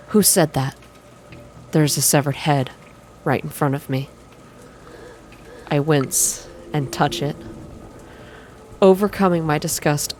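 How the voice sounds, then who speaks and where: Low-pitched woman, intimate ASMR game reading. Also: a little hiss or hum. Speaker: host of a game playthrough. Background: game music.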